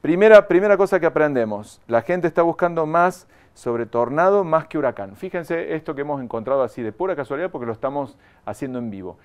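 A man's voice: a little high, lively intonation, fairly quick, few pauses, smooth. A man, leading a live online training. He explains patiently, repeats the key points and speaks straight to the viewers. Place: studio in front of a graphic backdrop.

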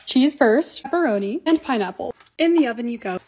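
Bubbly, fast-talking young woman vlogger. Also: on the high side. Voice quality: slightly raspy. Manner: chatty, excitable, quick asides. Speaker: lifestyle vlogger. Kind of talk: upbeat voice-over narration of home projects.

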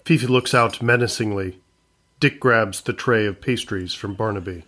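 A man's voice: lowish voice, moderate pace, pushed and bright, a little hiss or hum. A man reading scripted narration for an audio comedy, describing scenes as the narrator.

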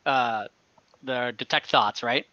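A man's voice: mid-range voice, fairly even intonation, slow, pushed and bright, no echo. A young man narrating as game master on a video call, talking loosely with many fillers. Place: home room. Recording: slightly dull video call audio.

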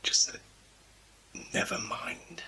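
A man whispering an uneasy, halting first-person commentary in a house at night, sounding spooked and nervous. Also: sharp tone, low-quality recording. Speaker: narrator recording himself at home at night. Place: indoors in a house.

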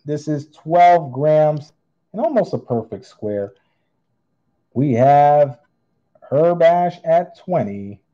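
Male online auctioneer, slow-paced and mellow, theatrical singsong bid calls, slightly muffled recording. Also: medium pitch. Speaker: online auctioneer, channel host. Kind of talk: live online coin auction calling. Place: indoors at a tabletop display.

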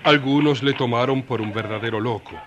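A man's voice: medium pitch, brisk, lo-fi sound, very noisy. A man narrating a scripted story in a colloquial, storytelling style.

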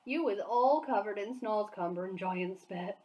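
A woman's voice: mid-range voice, unhurried, warm tone, dull audio, close to an everyday voice. A woman voicing a kind, dignified male giant who speaks earnestly and gets his words muddled. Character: gentle kindly big male giant, dignified.

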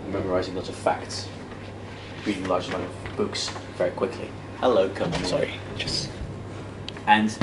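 Dutch-native male lecturer, 30s, low voice, chatty and self-mocking, distant camera in a noisy classroom. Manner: casual, self-deprecating, many restarts and fillers; walks and gestures while talking. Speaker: debate workshop lecturer. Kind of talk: interactive lecture. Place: classroom with projector screen, indoors. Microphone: far camera. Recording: echoey classroom from far off, noisy.

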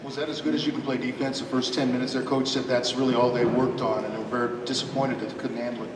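A male reporter asks brisk, direct questions. His voice is heard from far off. It sits in a big reverberant room with steady noise. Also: medium pitch. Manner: direct and to the point. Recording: echoey hall, distant mic, room noise.

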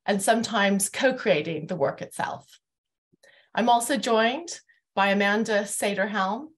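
Female host, middle-aged, mid-range pitch, panel introductions and questions, recorded on a video call. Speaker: host, university academic. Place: living room at home, video call.

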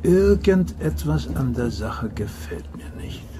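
An actor playing a suspicious, brooding manservant in a mystery radio play, speaking in a conspiratorial, deliberate way. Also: male voice, fairly high pitch, sing-song, over music. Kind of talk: German radio play acting.

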